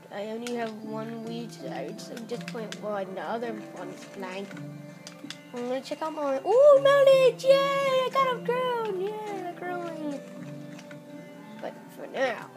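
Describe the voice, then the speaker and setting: A young female player rambling through casual Minecraft commentary, squealing at small wins, recorded on a phone aimed at a TV. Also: on the high side. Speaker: Minecraft player filming her screen. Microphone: phone camera. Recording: phone camera aimed at a TV, music in background.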